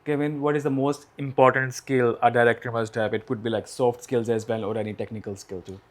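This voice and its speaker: A man hosting a podcast who asks polite, open questions, sometimes pausing to search for words. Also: mid-range voice, steady tone. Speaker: podcast host, interviewer. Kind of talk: interview questions.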